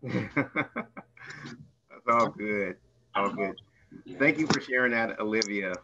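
A man's voice: fairly low pitch, takes it slowly, vocal fry, noisy background. An American man informally hosting an online event from an office over a video call. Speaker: event host. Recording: slightly dull video-call audio.

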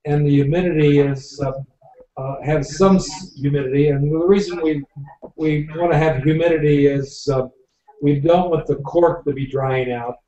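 A man's voice: a little high, fairly even intonation, normal speed, dark tone. Older man, winery owner, rambling chat, many fillers, video call, dull roomy audio. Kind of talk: live video-call wine tasting talk. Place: home office. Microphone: video call webcam. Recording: dull, echoey webcam audio from far off.

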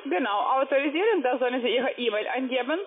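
A woman's very high voice comes through a thin, phone-like line. She speaks broken, non-native German as a call-center agent, insistent and reassuring. She keeps steering the caller along step by step. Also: animated, fast. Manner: insistent, reassuring, repeats "genau".

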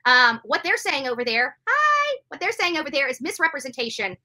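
A woman's voice: high-pitched, big pitch swings, fairly quick, sharp tone. A middle-aged woman hosting a livestream, giving indignant commentary in an emphatic way, repeating phrases for stress. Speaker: livestream host. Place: bedroom, indoors.

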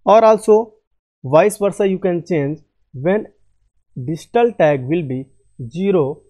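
A man talks through a screen-recorded software tutorial. His voice is fairly high yet mellow, and he speaks slowly, stopping to repeat and correct himself. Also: lively intonation. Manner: halting, repeats and self-corrects often.

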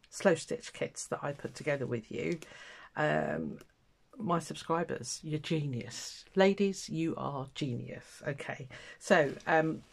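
A woman's voice: low voice, gravelly. An older woman with a cold and a stuffy nose, chatting casually to her viewers while she packs sewing kits at a table. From England. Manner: chatty, self-deprecating, talks while working with her hands. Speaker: craft channel host. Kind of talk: casual chat while crafting. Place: indoors at a table, hands-only overhead camera.

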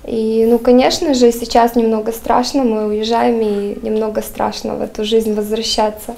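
Young woman, interview testimonial, dark low-key timbre, halting earnest delivery on a lapel mic. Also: flat delivery, gravelly. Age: young adult. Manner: earnest, hesitant with many fillers. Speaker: patient's wife giving a testimonial. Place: studio, plain dark backdrop.

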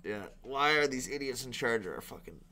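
A man's voice: medium pitch, lively intonation, brisk, vocal fry, pushed and bright, a little hiss or hum. A young man with a Canadian accent giving casual, exasperated, sweary commentary as a reaction video host. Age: young adult. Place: small indoor room at a computer.

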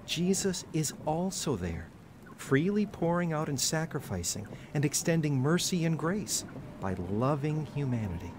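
A man with an American accent playing a gentle, devout, naive younger brother in an audio drama, earnest and expressive. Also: a little high, measured pace, noisy background.

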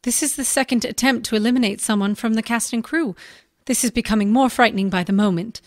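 A woman's voice: a little high, fast, dry recording, clean studio sound, lightly acted. A woman playing an earnest, concerned actress in a comedy audio drama.

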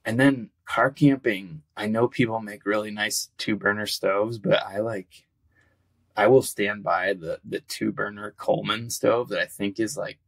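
Male podcast guest, low gravelly voice, easygoing and rambling, lots of fillers. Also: fairly even intonation, unhurried, fairly bright tone. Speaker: guest, outdoor cookbook author. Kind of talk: casual interview talk.

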